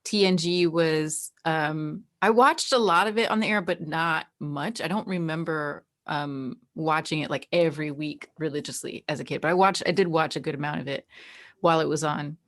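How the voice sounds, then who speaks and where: Female American interview guest: lowish pitch, informal storyteller, emphatic and conversational. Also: normal speed, a bit bright. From New Jersey, United States. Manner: informal, peppered with "like" and emphatic repeats. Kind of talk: casual chat, personal storytelling.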